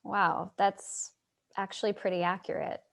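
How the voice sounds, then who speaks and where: A woman's voice: mid-range voice, flat delivery, a little slow, vocal fry. An actress playing a thoughtful, hesitant, searching woman in a staged play reading over a video call. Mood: curious, hesitant.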